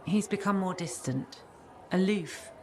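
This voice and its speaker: An actress playing an earnest, polite and worried wife in a video game. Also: female voice, on the low side, unhurried, slight background noise, natural voice. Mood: concerned. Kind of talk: video game cutscene dialogue.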